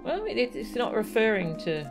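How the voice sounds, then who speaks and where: A woman's voice: on the high side, very animated, normal speed. A woman streaming a game with casual, playful, chatty live commentary. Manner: playful, chatty, goofy sound effects. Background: faint game music.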